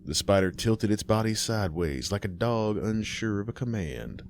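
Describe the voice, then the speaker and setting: A man narrating a horror story in a measured, ominous way. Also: on the low side, animated, a little hiss or hum. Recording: music bed behind.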